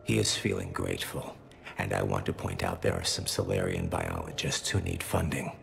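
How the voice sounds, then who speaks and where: An actor playing a grieving young alien son in a video game, solemn and courteous, with a gravelly voice and formal, halting phrasing. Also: male voice, sing-song, fairly bright tone, a little hiss or hum, heavily acted. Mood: courteous, subdued. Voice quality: gravelly, very low voice. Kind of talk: video game cutscene acting.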